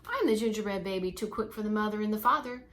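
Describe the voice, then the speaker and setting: A woman voicing a boastful, cheeky little gingerbread baby boy from a storybook, taunting in a singsong chant, with clear background noise. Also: medium pitch, fast talker, a bit bright, natural voice. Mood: smug boasting.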